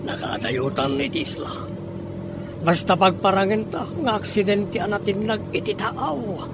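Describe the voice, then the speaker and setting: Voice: medium pitch, moderate pace, noisy background, close to an everyday voice. An actor playing a scheming boss in a scripted Ilocano audio drama. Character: scheming boss plotting a fake accident.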